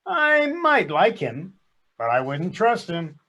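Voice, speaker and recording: An actor playing a reluctant, argumentative husband in a comic stage sketch, sarcastic and exasperated. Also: male voice, high voice, huge pitch swings, slow, pushed and bright, heavily acted. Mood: contrary. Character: reluctant, grumpy, argumentative husband at couples counseling. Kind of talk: comic readers theater sketch.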